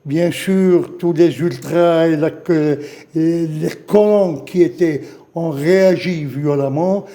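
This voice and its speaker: An older man from Algeria giving a halting, emotional eyewitness testimony as a guest on a studio news program, repeating phrases as he recalls events. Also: on the high side, steady tone, warm tone. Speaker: witness guest. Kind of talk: spoken testimony in a studio interview.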